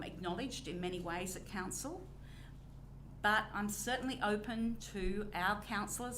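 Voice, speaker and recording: A woman's voice: mid-range voice, bright, forceful tone. Woman chairing a council meeting. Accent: Australian. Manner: measured, deliberate, with frequent ums. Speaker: council presiding member. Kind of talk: formal council meeting answers. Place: old council chamber. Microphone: recording microphone. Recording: noisy chamber recording.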